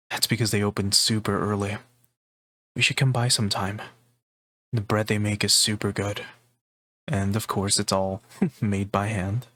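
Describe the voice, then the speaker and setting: ASMR roleplay, male: actor as affectionate comforting boyfriend, soft-spoken, lowish pitch, very unhurried, intimate delivery. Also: dry recording. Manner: tender, unhurried, intimate, with pauses. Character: gentle, affectionate, teasing male partner offering comfort.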